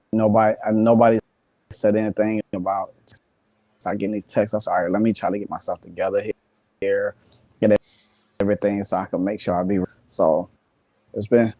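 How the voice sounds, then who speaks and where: A sleepy man joining a sports talk show as a guest, speaking haltingly with many fillers and restarts. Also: lowish voice, warm tone, no echo.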